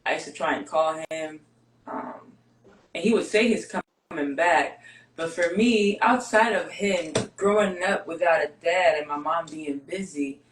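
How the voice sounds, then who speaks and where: A woman's voice: sits low, roomy sound, a little hiss or hum, rough recording. A woman born and raised in Greece, whose first language is Greek, tells her life story candidly and steadily as an interview guest. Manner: candid, steady, measured with pauses. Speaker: guest, basketball player.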